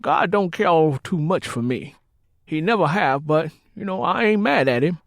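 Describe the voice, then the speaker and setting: Boisterous husband, Southern accent, higher-pitched, hard-drinking, mocking, folksy storybook character. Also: male voice, lively intonation, moderate pace, no room sound, clean studio sound. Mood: resigned, grumbling. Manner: irreverent, folksy, drawling banter. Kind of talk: audiobook character acting.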